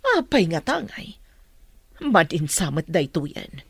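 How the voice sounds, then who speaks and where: A woman's voice: low voice, very animated, slow pace, vocal fry, husky, no echo, studio quality, exaggerated voice. An actress playing a nosy, uneasy mother in an Ilocano radio drama, with an Ilocano accent. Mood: probing, uneasy.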